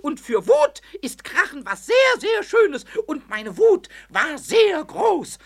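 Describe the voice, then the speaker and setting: A man voicing a cheeky, touchy little kobold in a 1970s children's radio play, with a squeaky, excitable voice. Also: slow pace, gravelly, exaggerated voice. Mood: cheeky, excited. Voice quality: high, squeaky cartoonish voice. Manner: excitable, repeats words playfully.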